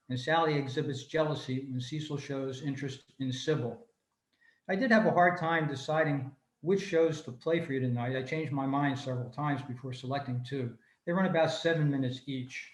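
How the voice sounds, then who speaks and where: Male club presenter, slightly high-pitched, reading prepared notes on a video call from an echoey room. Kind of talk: scripted informational talk, read aloud. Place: room, remote video meeting.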